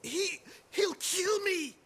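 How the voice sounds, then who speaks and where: A man's voice: very high voice, lively intonation, takes it slowly, full character voice. A man playing a coarse, jittery, cowardly bandit prisoner in a video game, his voice strained. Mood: panicked. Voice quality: creaky, sharp, strained. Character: insolent low-born bandit prisoner, cowardly under threat. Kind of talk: video game cinematic acting.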